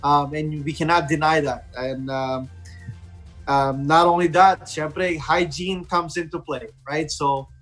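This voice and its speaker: A Filipino-accented man hosts a casual online radio talk. His voice sits a little high, and he feels his way through his sentences, slipping between English and Tagalog. Faint music plays behind him. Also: steady tone, measured pace, fairly bright tone. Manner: hesitant phrasing, mixes English and Tagalog.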